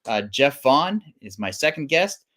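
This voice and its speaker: A man hosts a live comics stream as a guest presenter. His voice sits at an average male pitch, and he talks casually with wry, self-deprecating humor. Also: big pitch swings, measured pace, fairly bright tone. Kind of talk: casual live-show hosting.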